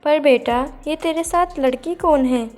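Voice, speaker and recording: A woman's voice: high-pitched, a little slow, darkish tone, soft background music. A woman voicing a poor, long-suffering village mother-in-law in a cartoon moral story, plaintive and motherly. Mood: sorrowful relief.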